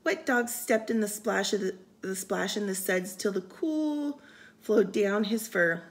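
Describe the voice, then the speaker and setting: Woman, storyteller, picture book read-aloud, expressive rhythmic delivery, casual asides, medium pitch. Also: unhurried. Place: indoors, room by a window.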